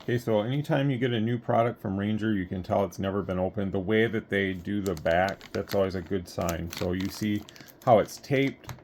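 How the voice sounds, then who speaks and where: A man's voice: lowish voice, normal speed, darkish tone. A man giving a casual hands-on product review, rambling a little as he thinks aloud, recorded on a phone. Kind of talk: casual unboxing review, talking through thoughts. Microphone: phone, handheld. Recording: clear background noise, recorded on a phone.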